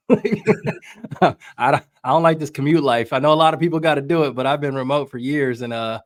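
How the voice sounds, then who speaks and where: A man's voice: medium pitch, moderate pace, dry recording, studio quality. An American man speaking as a podcast guest, giving informal, wry, conversational sports commentary. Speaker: podcast guest, soccer journalist.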